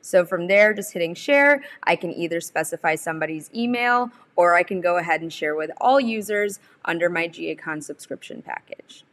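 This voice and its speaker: A young adult woman presenting a software tutorial, explaining each step in an informal, instructional way. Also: lowish voice, lively intonation, normal speed, hardly any pauses. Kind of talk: instructional screen-share walkthrough.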